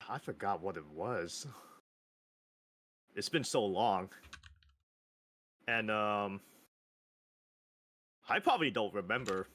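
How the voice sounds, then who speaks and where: Thirties guy, podcast guest, unhurried delivery, bright edge to the tone, halting and giggly. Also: fairly low pitch, expressive. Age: 30s. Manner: slow, hesitant, laughs often. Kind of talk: casual chat.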